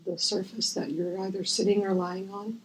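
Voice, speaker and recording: A woman guiding a visualization as host, gentle and unhurried with long pauses, her voice breathy and often near a whisper. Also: mid-range voice, level tone, rough recording. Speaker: guided meditation host. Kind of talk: guided visualization, meditation.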